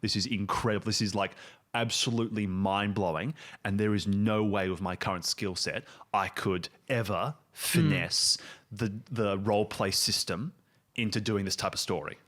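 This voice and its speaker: A man talking casually as a game master, halting and emphatic as he thinks aloud and describes things. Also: fairly low pitch, a bit bright. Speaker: show creator and game master. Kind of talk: casual chat and improvised game narration.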